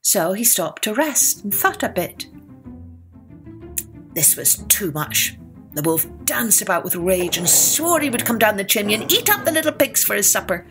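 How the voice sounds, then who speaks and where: A woman narrating a scripted children's tale as a storyteller, with expressive, deliberate phrasing. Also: medium pitch, music in the background. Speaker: storyteller and podcast host.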